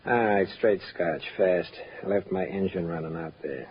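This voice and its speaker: Man playing a low, brusque slick stranger. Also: moderate pace, close to an everyday voice. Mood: impatient. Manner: brusque, clipped, demanding. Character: slick, sarcastic male stranger in a hurry. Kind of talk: 1940s radio drama acting. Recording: muffled, faintly noisy old radio recording.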